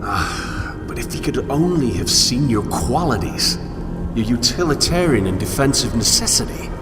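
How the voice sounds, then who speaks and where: A man's voice: medium pitch, very animated, moderate pace, natural voice. A man playing a solitary, brooding wanderer who muses in formal, eloquent language in a scripted game monologue. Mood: brooding, intimate. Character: solitary, brooding male wanderer musing philosophically on a fallen world. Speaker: narrator. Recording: long hall reverb, music underneath. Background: music.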